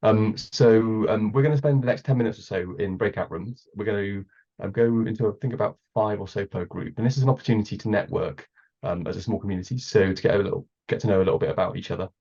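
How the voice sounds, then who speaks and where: Man, hoarse from a cold, gravelly and rough, halting with ums; dull video-call audio. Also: on the low side, dark tone. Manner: hesitant, many ums. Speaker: meeting co-host. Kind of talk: online meeting facilitation. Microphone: computer mic on a video call.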